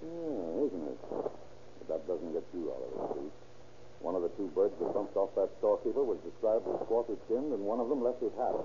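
An actor playing a brisk, suspicious police detective lieutenant in a 1930s radio drama. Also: male voice, lowish voice, lively intonation, dark tone, lightly acted. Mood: accusing. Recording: noisy, tinny old-time radio recording.

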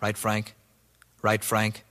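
An actor playing a terse, dogged American police detective, narrating and questioning in a deadpan, clipped manner in a radio cop parody. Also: male voice, on the low side, slow pace.

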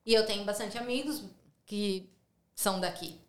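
A woman's voice: mid-range voice, normal speed. A woman from São Paulo with a São Paulo accent, a podcast guest talking casually and chattily, often tagging her sentences with questions. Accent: Brazilian Portuguese, São Paulo. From São Paulo, Brazil. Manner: chatty, frequent tag questions. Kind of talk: casual conversational interview. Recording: roomy echo.

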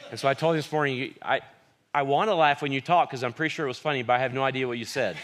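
A pastor hosts a guest in front of his congregation, talking in a friendly way and often tripping over and repeating his words. His voice sits at an ordinary pitch for a man. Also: very fast, fairly bright tone, a little hiss or hum. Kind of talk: hosting a church interview.

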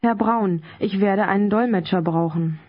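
A woman speaking scripted model sentences for a language course in a measured, even way. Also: mid-range voice. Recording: dull lo-fi tape audio.

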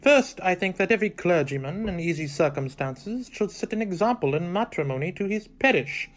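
A man's voice: sits high, expressive, brisk. A pompous clergyman suitor, played by a man in a radio play. He speaks in formal little speeches, stiff and thoroughly pleased with himself. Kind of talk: theatrical radio drama acting.

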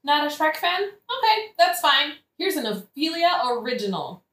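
A woman's voice: high-pitched, big pitch swings, moderate pace, a bit bright. A woman playing a grief-mad, theatrical young woman who rambles and bursts into song in a satirical audio play. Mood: flippant. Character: grief-mad young woman, manic, singing, mock showbiz cheer. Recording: echoey room.

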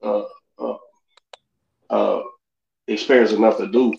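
A man co-hosting a podcast, asking rambling questions with many restarts and pauses. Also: on the low side, steady tone, gravelly, darkish tone. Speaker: podcast co-host. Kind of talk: casual interview questions. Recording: roomy, dull, slight hiss.

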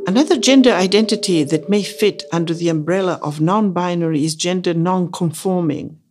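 Co-host, non-binary with a woman's voice, Greek native, somewhat low pitch, scripted explainer delivery. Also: a little fast, a little mellow, soft background music. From Greece. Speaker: podcast co-host. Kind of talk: scripted educational dialogue.

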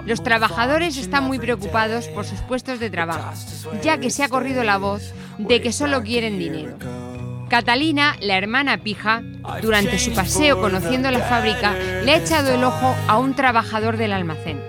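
A woman from narrates a radio soap from a script. Her voice is high, with music clearly playing underneath. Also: animated, normal speed.

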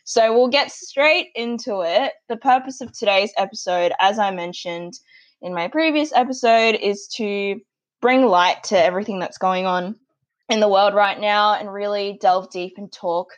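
Young woman, Australian accent, giggly, chatty, middle pitch, dull lo-fi sound. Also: normal speed. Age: young adult. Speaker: podcast host. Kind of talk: casual podcast chat. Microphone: remote call audio.

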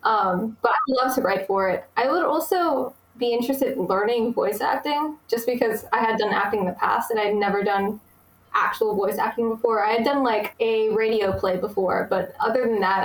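A woman's voice: mid-range voice, normal speed, reverberant, slight background noise, rough recording. A young woman from the United States, talking casually and eagerly, with many fillers. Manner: chatty, many fillers, enthusiastic. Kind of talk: casual conversation.

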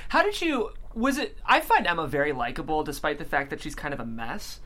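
A man's voice: a little high, sing-song, a little fast, bright, forceful tone. A man hosting a casual panel interview, asking questions in a conversational style. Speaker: interview host.

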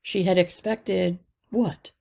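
A woman reads a children's story aloud expressively, with deliberate phrasing that drops into hushed line endings and a husky, breathy voice. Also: on the low side, fairly even intonation. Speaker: read-aloud podcast narrator.

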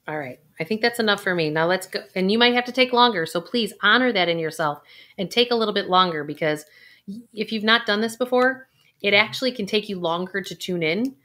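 Woman, intuition coach, talks viewers through breathing steps, calm and unhurried, mid-pitched, recorded for video. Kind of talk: guided exercise for viewers.

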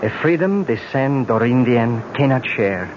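A man playing a courteous, solemn young prince in danger, speaking with measured politeness in a 1970s radio drama. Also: mid-range voice, lively intonation, natural voice. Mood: grave. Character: grave, courteous young prince marked for death. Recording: muffled, very noisy old radio recording.

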